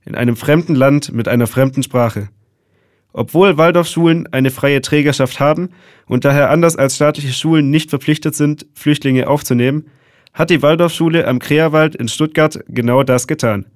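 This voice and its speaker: A male radio presenter reads his scripted introduction in a measured, clear way. His voice sits a touch high for a man. The studio sound is dry and close. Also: no echo.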